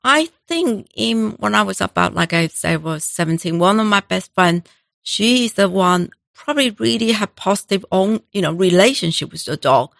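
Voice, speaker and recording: A middle-aged woman from Taiwan, speaking as an interview guest and telling personal stories in halting, with restarts. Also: mid-range voice, expressive, creaky, fairly bright tone, dry recording, studio quality. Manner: halting, non-native phrasing with restarts. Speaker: guest, animal welfare director. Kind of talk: conversational interview storytelling.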